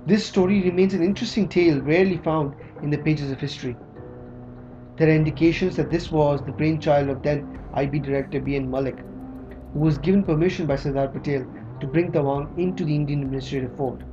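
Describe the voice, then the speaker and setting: A man narrating a scripted history documentary in a measured, read-aloud way. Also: a little high. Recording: slightly dull audio with music underneath.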